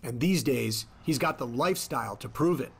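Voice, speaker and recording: A man's voice: mid-range voice, lively intonation, normal speed, very noisy. A man reading scripted narration for a documentary. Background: music.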